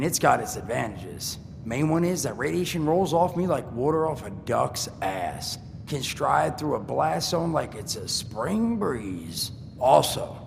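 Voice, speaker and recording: An actor playing a wisecracking male ghoul trader in a video game, talking in a joking, streetwise drawl with a gravelly voice. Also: mid-range voice. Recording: game audio with long reverb and noise.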